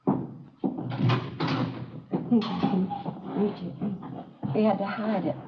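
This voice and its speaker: A woman's voice: mid-range voice, fairly even intonation, vocal fry, loud music behind the voice. An actress playing an anxious, polite mother in her early forties in a 1950s radio crime drama. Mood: uneasy. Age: 40s. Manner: polite, measured, worried. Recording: dull old radio broadcast recording.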